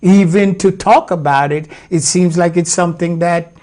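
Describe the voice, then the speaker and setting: A man's voice: high voice, steady tone, a little mellow. Reflective older man, guest from Jamaica. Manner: reflective, slow and hesitant. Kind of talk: casual interview conversation.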